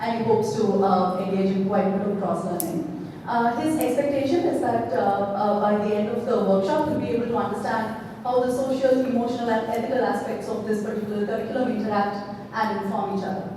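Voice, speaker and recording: A woman introducing a fellow participant at a workshop, calm with some fillers. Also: mid-range voice, fairly quick. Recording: echoey hall, distant mic, noisy.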